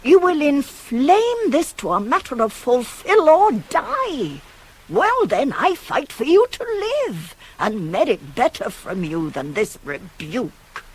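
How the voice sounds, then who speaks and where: An actress playing a shrewd, pragmatic older nurse in a radio Greek tragedy, arguing with emphatic, insinuating rhetoric that can drop to hushed tones. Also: female voice, on the high side, sing-song, slow pace, breathy edge, heavily acted. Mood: indignant. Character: older female nurse, shrewd, pragmatic, persuasive confidante of a queen. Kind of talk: 1980s radio play acting of Greek tragedy. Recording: hissy old cassette radio recording.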